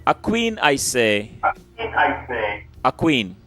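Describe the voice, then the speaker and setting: A man's voice: mid-range voice, very animated, extremely slow, sharp tone, faint music behind. A man from Guyana, hosting an interview and thanking his guest effusively, often repeating small words. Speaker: host. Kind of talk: interview wrap-up.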